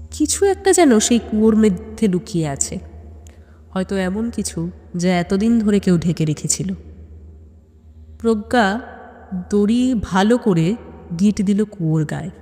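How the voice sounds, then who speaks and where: A woman narrating a scripted suspense story dramatically, in measured phrases with pauses for tension. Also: mid-range voice, mellow. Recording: long hall-like reverb on the voice.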